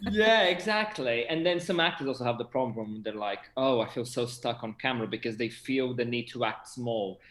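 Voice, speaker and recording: A man's voice: medium pitch, lively intonation, normal speed, few pauses, fairly bright tone. A man in his twenties with a Portuguese accent, chatting enthusiastically about his work in a casual interview over a video call. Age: 20s. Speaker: theatre director and actor. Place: indoor room, on a video call. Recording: roomy, echoing video call audio.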